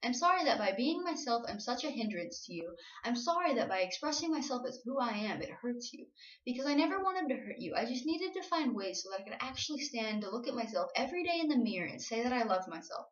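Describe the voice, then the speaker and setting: A woman's voice: a little high, very animated, fast talker. A young adult woman performing spoken-word slam poetry with an earnest, emotional, confessional delivery. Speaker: slam poet. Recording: roomy, dull lo-fi home recording.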